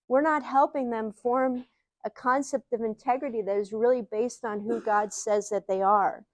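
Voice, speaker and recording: Woman, middle-aged, Ohio Midwestern accent, fairly high voice, closely dry sound. Earnest live teaching speaker who poses questions to the listeners. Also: a little mellow, dry recording. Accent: Ohio Midwestern American. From Ohio, USA. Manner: earnest, uses rhetorical questions. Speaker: speaker, psychologist. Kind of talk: live teaching talk to an audience.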